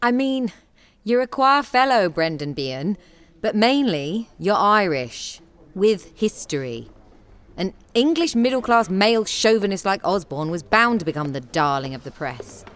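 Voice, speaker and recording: Commanding, blunt theatre director. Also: female voice, medium pitch, big pitch swings, measured pace. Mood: exasperated, blunt. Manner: forthright, commanding, impatient. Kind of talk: radio play acting.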